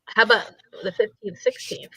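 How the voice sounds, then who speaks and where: A woman's voice: on the high side, very animated, moderate pace, vocal fry, bright, forceful tone, whispered, poor audio. A woman chatting casually and informally as co-host of a group livestream, talking into a close mic on a call.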